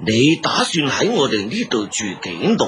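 Swinging-voiced, hearty old Mongolian elder. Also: male voice, medium pitch, wildly swooping pitch, moderate pace. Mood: respectful, welcoming. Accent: Hong Kong Cantonese. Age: older. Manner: chuckling, hearty. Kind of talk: Cantonese radio drama acting. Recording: radio rebroadcast with noisy ambience.

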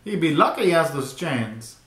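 A man in his twenties giving a casual, excitable live reaction commentary full of slangy exclamations, recorded in a small bedroom. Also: on the high side, normal speed. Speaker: reaction video host. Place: small bedroom at home, indoors. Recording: roomy, echoey home recording.

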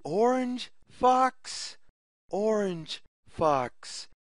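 Very slow, sing-song children's story reader. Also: mid-range voice, expressive, no echo, studio quality. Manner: deliberate, word-by-word delivery with sing-song questions. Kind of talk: slow read-aloud of a repetitive children's picture story.

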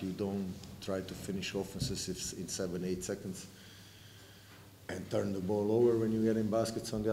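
Middle-aged coach guy, really deep monotone, blunt about the turnovers, echoey press room with noise. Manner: blunt, frustrated, self-correcting. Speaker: visiting basketball coach. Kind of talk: post-game press conference answers. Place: press room with sponsor backdrop. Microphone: table mic. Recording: echoey room, noisy background.

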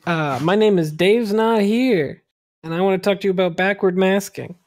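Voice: mid-range voice, normal speed, darkish tone. A panelist on a comedy podcast, casually introducing readers and reading posts aloud.

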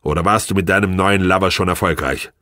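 A man's voice: sits low, fairly even intonation, fast, pushed and bright, dry recording, studio quality. A man voicing a weary, cornered husband who reasons defensively and with controlled restraint in an audiobook. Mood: cold, bitter. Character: weary, cornered husband, stubbornly unimpressed, placating. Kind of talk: audiobook character dialogue.

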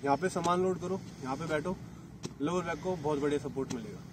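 A man's voice: fairly high pitch, quick pace, background noise. A man in his thirties, a motorcycle vlogger, chatting casually as he explains gear step by step at an open lakeshore. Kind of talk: casual product demo.

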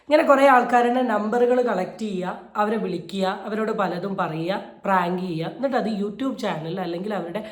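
A woman's voice: mid-range voice, a little fast, few pauses. A woman giving indignant, emphatic video commentary, repeating phrases to drive her points home. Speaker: YouTube commentator. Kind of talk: opinion commentary on a viral video. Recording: roomy, echoey voice recording.

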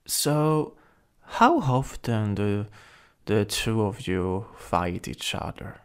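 A man's voice: lowish voice, animated, drawn-out words, smooth. A man with an Italian accent playing a jealous, possessive, taunting supervillain in an ASMR roleplay, drawling and teasing, sometimes dropping to a whisper. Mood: possessive menace.

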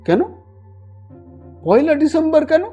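Man acting an indignant, high-pitched young ticket collector. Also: big pitch swings, measured pace, muffled recording, faint music behind, exaggerated voice. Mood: puzzled, indignant. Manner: loud, urgent calling out.

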